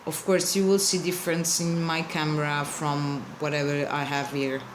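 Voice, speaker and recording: A woman's voice: sits low, flat delivery, normal speed, noisy background. A woman with a non-native English accent giving a casual art tutorial, chatty and apologetic, often searching for words. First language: not English. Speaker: card-altering artist.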